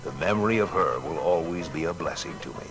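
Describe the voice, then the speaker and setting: A man's voice: low-pitched, expressive, brisk, music in the background. An actor playing a grown inventor who earnestly and reflectively recalls his boyhood in a dramatised biography. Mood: fond, grateful. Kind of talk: dramatised first-person reminiscence.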